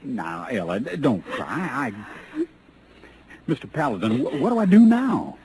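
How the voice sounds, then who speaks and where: An American actor playing an earnest, bumbling young cowboy with a folksy, stammering drawl in a 1950s radio western. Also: male voice, a little high, wildly swooping pitch, unhurried, gravelly, darkish tone, exaggerated voice. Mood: flustered. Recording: old radio recording with faint noise.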